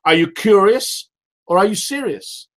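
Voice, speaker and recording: Loud, emphatic man leading a team call. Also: sits high, expressive, measured pace. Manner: emphatic, repetitive, rhetorical questions. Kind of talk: motivational sales call. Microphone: call audio.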